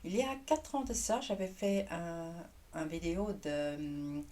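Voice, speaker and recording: A woman with a Luxembourgish accent, a native Luxembourgish speaker, introducing herself on her channel as a tarot reader and pausing often to find her French words. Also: on the low side, expressive, warm tone. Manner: hesitant, searching for French words with restarts. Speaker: tarot reader and energy worker. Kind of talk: casual YouTube introduction.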